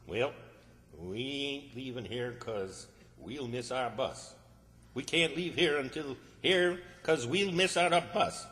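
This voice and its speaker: A man's voice: medium pitch, takes it slowly, sharp tone. Man playing blustering Southern country fellow, echoey hall. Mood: exasperated. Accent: American South, rural. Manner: folksy, blustering, exasperated. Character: flustered, bossy rural Arkansas man, scheming and exasperated. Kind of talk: old-time radio comedy acting. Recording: echoey hall, long reverb.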